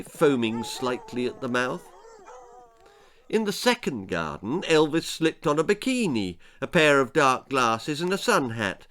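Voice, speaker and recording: Male English author-narrator for comic children's stories, bright, animated, dramatic. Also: medium pitch. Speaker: author reading his own story.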